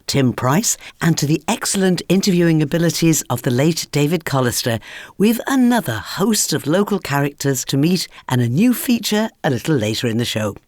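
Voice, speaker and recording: A woman's voice: low voice, darkish tone, no echo, studio quality. A woman hosting a radio programme, reading scripted narration in a storytelling way and addressing listeners directly.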